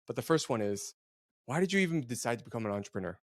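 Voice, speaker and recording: A man's voice: mid-range voice, animated, rapid-fire, no room sound. A man hosting an interview, asking long, chatty, rambling questions. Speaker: podcast interviewer.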